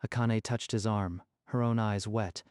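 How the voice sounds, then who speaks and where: A man's voice: fairly low pitch, steady tone, measured pace, darkish tone, smooth, no room sound, clean studio sound. A man narrating a scripted dramatic story in a literary storytelling style. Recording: music behind the voice.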